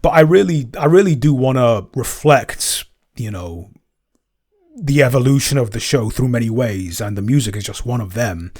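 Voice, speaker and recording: Male podcast co-host and composer, medium pitch, informal Q&A chat, reflective and rambling with self-corrections. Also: expressive, normal speed, darkish tone. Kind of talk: casual Q&A chat.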